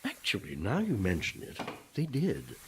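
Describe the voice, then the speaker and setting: An actor playing the gloomy, repentant ghost of a Puritan pastor in a radio play, wistful and self-pitying in old-fashioned formal speech. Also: male voice, lowish voice, wildly swooping pitch, moderate pace, a bit bright, breathy edge, full character voice. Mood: wistful, glum.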